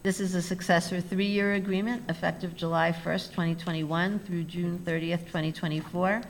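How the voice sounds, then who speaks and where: Lady reading agenda items, lowish voice, formal, faint hum behind. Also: level tone, moderate pace, poor audio. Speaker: university staff presenting agenda items.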